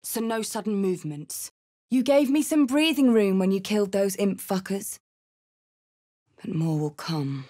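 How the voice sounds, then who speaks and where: A woman's voice: mid-range voice, expressive, a little slow, mellow, close to an everyday voice. A woman playing a brash, hearty, battle-hardened tiefling warrior woman in a video game, with a blunt, salty way of talking. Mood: wry, brisk. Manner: blunt, salty, coarse-mouthed. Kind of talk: video game character voice lines.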